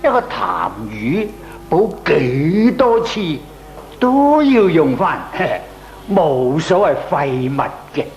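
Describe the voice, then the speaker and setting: Slow, swooping old Cantonese grandfather. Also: fairly high pitch, sing-song, darkish tone, dull audio, soft background music, exaggerated voice. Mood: reminiscing, wry. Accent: Hong Kong Cantonese. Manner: drawn-out, chuckling storytelling. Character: reminiscing thrifty old grandfatherly neighbour. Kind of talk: comic radio drama acting.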